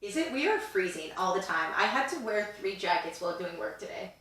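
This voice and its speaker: A woman's voice: medium pitch, very animated, a little fast, fairly bright tone, very echoey, distant mic. A woman in her twenties co-hosting a podcast, chatting in a rambling way with lots of fillers. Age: 20s. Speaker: podcast co-host. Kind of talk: casual chat.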